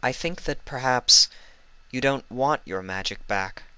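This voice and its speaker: A regal, serene elder sister pony from a storybook, as a male narrator puts her on. Her voice is lifted a little and smooth, with a measured, soothing delivery and a gently teasing air. The recording is dry. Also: mid-range voice, no echo, pitched above the performer's own voice. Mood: calm, patient.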